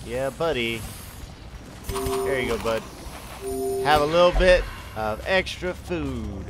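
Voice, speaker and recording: A sniffly man with a head cold gives relaxed, slow-paced commentary on his game, easygoing and encouraging. Clear game music runs behind his voice. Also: medium pitch. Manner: relaxed, chatty, cheering his party on. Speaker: gaming channel host. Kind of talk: casual let's-play commentary.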